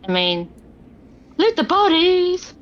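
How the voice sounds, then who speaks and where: A woman's voice: extremely high pitch, sing-song, slow pace. A woman speaking as a player in a tabletop game, casually discussing rules and moves. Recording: slightly muffled, faint background noise.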